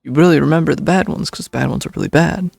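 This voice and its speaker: Guy streamer, super creaky voice, dry close sound, casual game reactions. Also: fairly high pitch, fast, darkish tone, no room sound. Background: faint game music.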